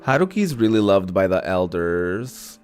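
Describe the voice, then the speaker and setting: A man's voice: low-pitched, big pitch swings, a little slow, clean tone, soft background music. A man giving casual, chatty let's-play commentary in a playful, campy manner, reading bits of dialogue aloud. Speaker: video game streamer.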